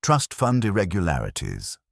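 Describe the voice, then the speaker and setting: A businesslike police sergeant, read by a male narrator in a detective story. He explains matter-of-factly in an extremely deep voice, recorded dry. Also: huge pitch swings, normal speed, vocal fry, no echo, full character voice. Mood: grave reporting.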